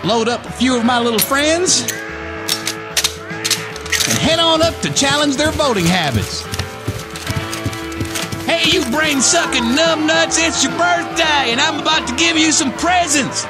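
A man's voice: very high voice, expressive, a little slow, long pauses, loud music behind the voice. An actor playing a cocky, whiskey-drinking American redneck survivor, telling his story in folksy slang in a radio play. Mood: defiant. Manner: folksy, slangy drawling wisecracks. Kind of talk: radio play monologue narration.

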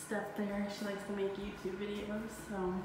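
A middle-aged woman giving a slow, plain walkthrough of an empty townhouse, filmed on a handheld phone. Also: medium pitch, completely flat delivery, background noise. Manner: slow, plain, pausing between rooms. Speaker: parent giving a house tour. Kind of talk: casual walkthrough narration. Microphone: phone camera held while walking. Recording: echoey empty rooms with long reverb.

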